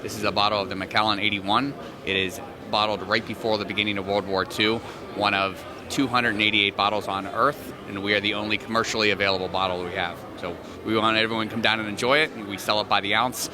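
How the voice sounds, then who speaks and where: A man presenting a rare bottle in a proud, salesy pitch. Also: fairly even intonation, quick pace. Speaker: bar representative. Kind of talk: product presentation. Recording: crowd chatter behind.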